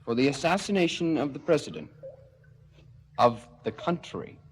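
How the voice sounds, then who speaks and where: An actor playing a brash, sardonic young radical heir in a radio drama, with a mocking, insistent manner. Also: male voice, a little high, animated, moderate pace, close to an everyday voice. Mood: sardonic, intense. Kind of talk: 1970s radio drama acting. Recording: noisy 1970s radio drama recording.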